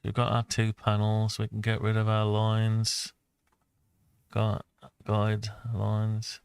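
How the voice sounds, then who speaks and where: A man with a fairly low, flat voice thinks aloud through an on-screen design tutorial. He talks very slowly, muttering his way from one step to the next in a casual, unscripted way. The sound is dry and close. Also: gravelly, clear, resonant tone, dry recording. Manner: muttering to himself, talking through each step. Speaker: host of a CAD design tutorial.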